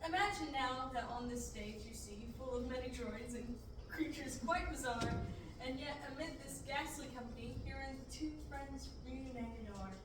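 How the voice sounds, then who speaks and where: A young woman as chorus narrator, reading rhymed verse in a measured storytelling way. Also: high voice. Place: large classroom with many seated readers. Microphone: far camera. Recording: echoey room, far-off mic, background noise.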